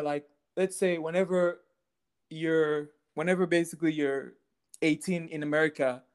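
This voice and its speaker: A young man from Cameroon, a podcast guest, talking in an easygoing, halting way with repeated words. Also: a little high, clear, resonant tone. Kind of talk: casual interview chat.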